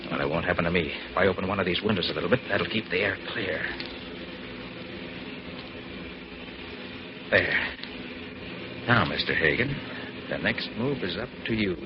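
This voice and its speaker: An actor playing a confident, commanding master detective with an assured manner in a 1940s radio drama. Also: male voice, deep voice, fairly quick, lightly acted. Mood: musing, watchful. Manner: assured, crisp, authoritative. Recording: dull, noisy 1940s radio recording.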